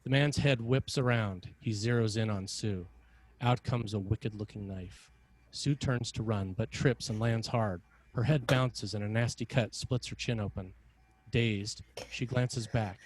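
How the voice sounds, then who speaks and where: A man with a lowish voice narrates a screenplay. He reads stage directions in a measured, dramatic style. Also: steady tone, rough recording.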